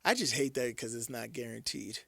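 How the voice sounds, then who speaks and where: A man's voice: mid-range voice, brisk, vocal fry, fairly bright tone, breathy edge, no room sound. A man co-hosting a sports radio show, chatting casually and chiming in with short remarks. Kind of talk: casual banter, short interjections.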